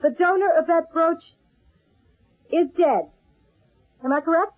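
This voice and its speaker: A woman's voice: very high-pitched, unhurried. An actress playing a stage mind reader, a woman, in an old-time radio drama. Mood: solemn, trance-like. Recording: muffled old radio broadcast.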